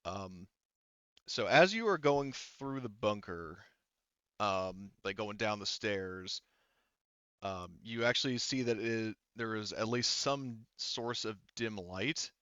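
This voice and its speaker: A man running a tabletop game, narrating off the cuff in a casual, halting, rambling way. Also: fairly low pitch, expressive, sharp tone, smooth, dry recording, dull audio. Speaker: game master.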